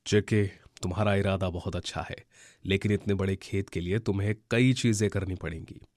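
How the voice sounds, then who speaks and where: Wise old owl elder, male actor: lowish pitch, kindly, advisory, cartoon story delivery. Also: fairly even intonation, a little fast, darkish tone, no echo, clean studio sound, close to an everyday voice. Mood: advising. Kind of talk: Hindi children's cartoon story acting.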